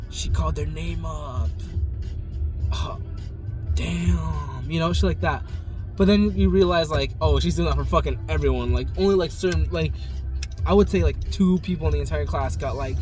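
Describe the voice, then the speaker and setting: A man's voice: on the high side, darkish tone. A young man vlogging in a loose, rambling way, swearing freely and filling gaps with likes and ums. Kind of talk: casual vlog chat. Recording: noisy background ambience.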